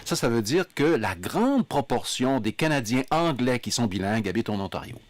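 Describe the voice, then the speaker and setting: A man's voice: medium pitch, big pitch swings, brisk, no echo. A man hosting a radio panel in the studio, leading the talk with figures and questions and teasing his guests. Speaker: radio show host.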